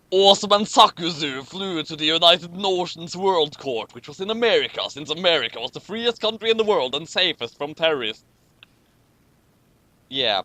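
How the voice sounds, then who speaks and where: A man's voice: fairly high pitch, pushed and bright, no room sound. A man doing a casual, mocking read-aloud with commentary, sarcastic and tripping over his words as he reads. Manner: stumbles over words while reading. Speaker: co-host reading a story aloud with commentary.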